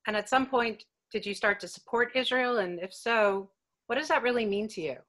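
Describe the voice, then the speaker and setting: A woman's voice: on the high side, normal speed, fairly bright tone. A woman hosting a web talk from a room at home, reading polished prepared questions over a video call. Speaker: host. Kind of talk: scripted web talk moderation.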